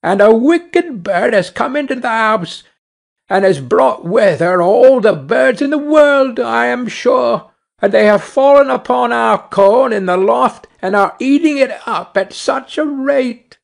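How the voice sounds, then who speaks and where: Fretful carter's wife, played by a man, storybook reading, high put-on pitch, anxious complaining, gravelly undertone. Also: animated, unhurried. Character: frightened, angry carter's wife.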